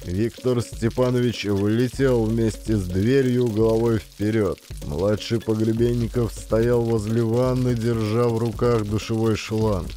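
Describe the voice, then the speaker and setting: A man's voice: lowish voice, steady tone, a little slow, hardly any pauses, mellow. A man narrating a comic audio play in a dramatic scripted storytelling style. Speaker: narrator and actor of audio plays.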